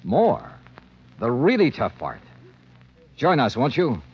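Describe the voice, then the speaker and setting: A man's voice: mid-range voice, wildly swooping pitch, slow, vocal fry, husky, full character voice. An American man playing a tough, wry insurance investigator in a 1950s radio drama, clipped, dry and hard-boiled. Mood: dry, resolute. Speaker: star and narrator of detective series. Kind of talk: 1950s radio detective acting and narration. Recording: muffled 1950s radio recording, organ music.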